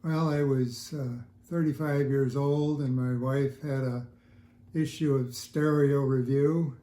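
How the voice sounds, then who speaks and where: A man in his seventies giving casual, halting interview answers as an audio hobbyist in his home listening room. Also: mid-range voice, fairly even intonation, mellow. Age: 70s. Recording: echoey room sound.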